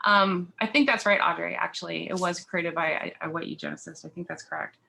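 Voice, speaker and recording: Woman running a workshop, gravelly voice, emphatic and agreeing, on a video call. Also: on the low side, a little fast, bright, forceful tone, a little hiss or hum. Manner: emphatic, agreeing, repeats points. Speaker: workshop presenter, facilitator. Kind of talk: workshop discussion.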